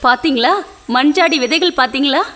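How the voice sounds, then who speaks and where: A woman's voice: high voice, a little fast, lightly acted. A woman voicing an affectionate, patient mother hen in a children's cartoon, doting and coaxing.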